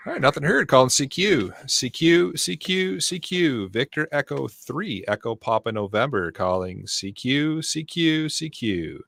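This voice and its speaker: A man's voice: mid-range voice, lively intonation, measured pace, gravelly, hardly any pauses, fairly bright tone, dry recording. A man working as an amateur radio operator, making repetitive, formulaic calls in a clipped sing-song.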